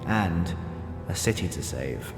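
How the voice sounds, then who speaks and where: An actor playing a worldly, father-like older man, genteel and affectionate, voicing a letter in an audio drama in measured, tender tones. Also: deep voice, expressive. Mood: grave. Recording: echoey long reverb, music underneath.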